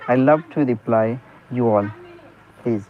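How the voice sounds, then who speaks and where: A man's voice: medium pitch, measured pace, slight background noise. Man on a tinny line. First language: Hindi. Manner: earnest, repeats "you know" and "okay" often, restarts sentences. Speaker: private teacher. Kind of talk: English speaking practice conversation.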